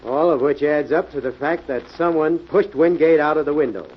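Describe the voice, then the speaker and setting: An actor playing an authoritative, no-nonsense police inspector, curt and commanding, in 1940s radio mystery style. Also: male voice, on the high side, normal speed, slightly rough. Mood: considering. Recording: dull, noisy old radio recording.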